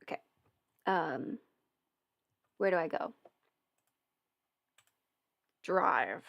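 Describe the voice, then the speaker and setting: A woman's voice: on the low side, expressive, slow pace, gravelly, dry recording, lo-fi sound. A woman casually rambling as a livestream host, hesitant, interrupting herself and thinking aloud as she goes. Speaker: livestream host, audio roleplay creator.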